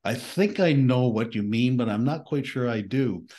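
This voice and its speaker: A man's voice: mid-range voice, expressive, moderate pace, darkish tone. An older man with a Canadian accent, hosting an interview podcast over a video call in an easygoing way. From eastern Canada. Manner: easygoing, laughs readily. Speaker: podcast host. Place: home room with bookshelves. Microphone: headset, video call.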